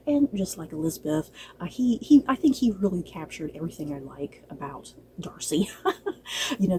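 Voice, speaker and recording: A woman with a soft-edged, mellow voice talks casually as a reviewer addressing her viewers. Her delivery is conversational and a little halting, with firm emphasis on opinions. There is some background noise on the recording. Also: on the low side. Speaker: book and film reviewer.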